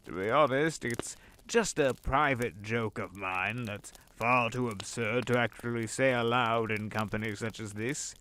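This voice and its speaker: Man playing a slow-talking, sly old devil. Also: mid-range voice, sharp tone, a little hiss or hum. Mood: musing, admiring. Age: older. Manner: theatrical, wordy, courteous, savoring phrases. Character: urbane, sly old devil hosting elite parties. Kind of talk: audio drama dialogue.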